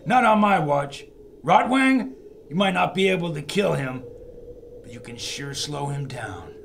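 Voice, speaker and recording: Male, plucky pulp adventurer acted, high and lively with a gravelly creak, noisy scene ambience. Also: unhurried, heavily acted. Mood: bold. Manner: bold, folksy exclamations. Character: brash, plucky young adventurer hero. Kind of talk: old-time pulp radio drama acting.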